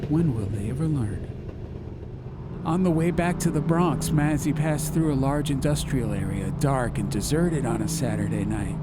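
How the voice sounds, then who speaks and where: A man narrating a dramatized audiobook story, with music and vehicle sound effects. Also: mid-range voice. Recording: music, car and outdoor ambience behind.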